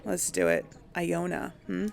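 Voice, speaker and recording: A woman's voice: lowish voice, very animated, unhurried, gravelly. A woman hosting a role-play gaming video, musing aloud in casual, chatty commentary and reading out dialogue choices. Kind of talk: casual game commentary mixed with in-character dialogue.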